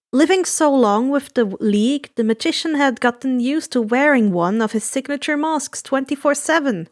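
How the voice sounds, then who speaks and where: A woman's voice: a little high, no room sound, clean studio sound. A woman reading fan fiction aloud as a scripted narration. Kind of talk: scripted storybook narration with character voices.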